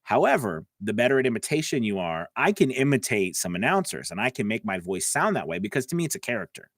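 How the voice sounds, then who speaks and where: A man's voice: lowish voice, very animated, fast talker, fairly bright tone, dry recording. A man coaching voice actors on a live stream, answering viewer comments in a casual, chatty way from his home studio. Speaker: voice-over coach.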